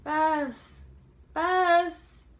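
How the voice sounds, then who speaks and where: An indignant young storybook heroine, played by a woman reading aloud, pitched well above an everyday voice. She is brusque and impatient, and speaks in emphatic, commanding bursts. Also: steady tone, drawn-out words.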